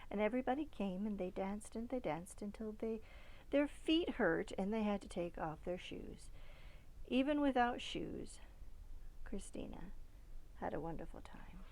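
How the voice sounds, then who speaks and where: A woman reading a children's storybook aloud expressively, with dramatic emphasis that softens at phrase ends. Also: mid-range voice, expressive, unhurried, poor audio. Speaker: storybook reader.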